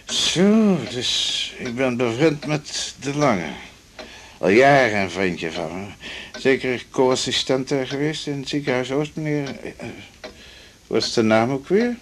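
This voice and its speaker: A man's voice: medium pitch, animated, unhurried, slight background noise, natural voice. A man playing a smug, conservative, patronizing older doctor in a radio drama, pompous and full of hesitant ehs. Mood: self-satisfied. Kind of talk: 1980s Dutch radio drama acting.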